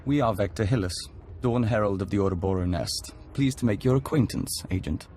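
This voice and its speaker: A male actor plays a human diplomat bonded to an alien hive, speaking in a slightly low voice. He is formal, serene and unfailingly polite, in the measured style of a game cutscene. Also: expressive, a little mellow, a little hiss or hum, natural voice. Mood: serene, courteous. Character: human envoy joined to an alien hive mind, courteous and serene. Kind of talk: video game voice acting.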